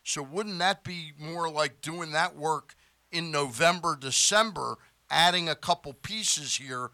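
A man with a bright, forceful, slightly high voice talks sports as a radio host. He is opinionated and conversational, making his points in casual discussion. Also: a little slow, creaky, no room sound.

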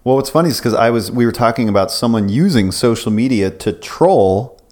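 A man's voice: medium pitch, brisk, a little mellow. A man co-hosting a casual podcast, wry and teasing, asking probing questions. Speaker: podcast co-host. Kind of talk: casual chat.

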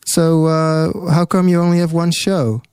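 A man's voice: fairly high pitch, flat delivery, a little mellow, clear, resonant tone, dry recording, clean studio sound. Chatty man hosting a radio interview. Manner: chatty, halting with fillers and restarts. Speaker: radio host. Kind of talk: casual live radio interview.

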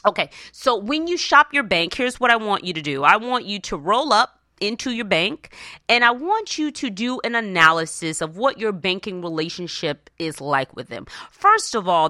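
A woman's voice: mid-range voice, bright, forceful tone, no room sound, clean studio sound. A woman hosting a motivational advice show, speaking emphatically and colloquially, often checking in with short questions. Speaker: host of a money advice show. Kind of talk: motivational personal finance radio talk.

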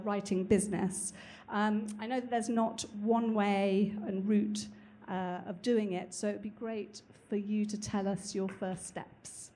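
A woman's voice: mid-range voice, dark tone. A woman hosting a live writers' panel, asking questions and giving short, encouraging prompts. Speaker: panel host. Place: event stage with audience.

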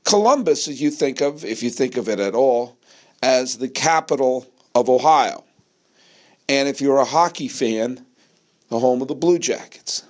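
A man's voice: mid-range voice, lively intonation, a little slow. An American man from New England giving an opinionated solo sports monologue, speaking emphatically and repeating phrases for effect. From New England, United States. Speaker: sports podcast host.

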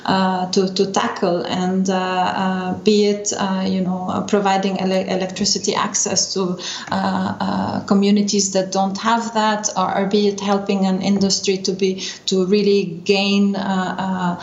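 A woman's voice: medium pitch, monotone. Hesitant middle-aged woman, roomy lo-fi sound. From Lebanon. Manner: hesitant, searching for words with frequent pauses. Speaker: interview guest, energy division chief. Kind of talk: unscripted interview answers. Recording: dull lo-fi roomy sound, faint noise.

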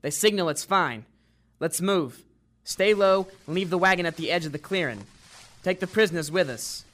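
A man playing a commanding American army officer in a World War II radio drama, giving terse, gruff orders. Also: high-pitched, normal speed, a bit bright.

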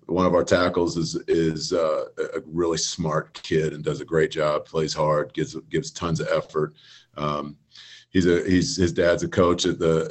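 Rich: A football coach speaks in a very low, husky voice over a video call. He rambles through his answers, stopping and restarting with plenty of fillers. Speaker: high school football coach, guest. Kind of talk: casual radio interview.

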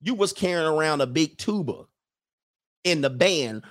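A man talking casually to his audience in an animated way, mocking people with quick put-on impressions and laughing at his own jokes. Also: a little high. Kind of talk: casual commentary monologue.

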